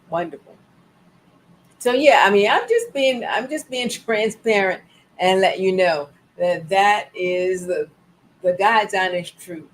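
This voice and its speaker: Animated sixty-year-old woman hosting a live stream. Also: mid-range voice, slight background noise, low-quality recording. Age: 60s. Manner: animated, repeats phrases, laughs, talks to viewers. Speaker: wellness live-stream host. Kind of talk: casual live-stream talk. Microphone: live-stream setup.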